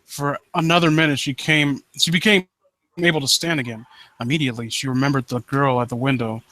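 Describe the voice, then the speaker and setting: Sarcastic man with a bright, forceful voice. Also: medium pitch, steady tone, fairly quick, no echo, rough recording. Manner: sarcastic, mocking, sweary. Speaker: host reading and critiquing horror stories live. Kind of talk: casual riffing commentary with read-aloud passages.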